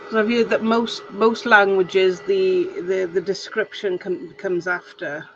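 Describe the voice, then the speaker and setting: Welsh woman, slow easy chat, gravelly voice, friendly, slightly dull audio. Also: mid-range voice, steady tone, background noise. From Wales. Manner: chatty and friendly, laughs often. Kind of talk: casual chat while crafting.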